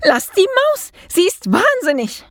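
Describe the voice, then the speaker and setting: Farm maid for children's storybook: very high, shrieking, horrified, drawn-out exclamations; woman performing. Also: wildly swooping pitch, a bit bright, no room sound, studio quality, heavily acted. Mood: alarmed. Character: shrill, flabbergasted, easily horrified farm maid. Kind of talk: children's storybook character reading.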